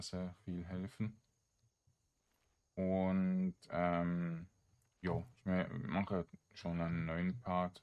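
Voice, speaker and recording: Male gamer, very low register, unhurried delivery, hesitant off-the-cuff commentary on his own play. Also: a little mellow. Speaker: gaming streamer narrating his own play. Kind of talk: casual let's play commentary.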